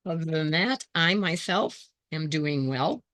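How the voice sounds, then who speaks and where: A hoarse woman hosting her quilting channel, thinking aloud in casual chat as she sorts scrap fabric and greets viewers by name. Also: deep voice, fairly bright tone, no room sound.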